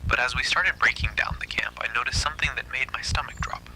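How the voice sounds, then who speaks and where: A man's voice: medium pitch, steady tone, quick pace, bright, forceful tone, whispered, poor audio. A man narrating a scripted first-person horror story.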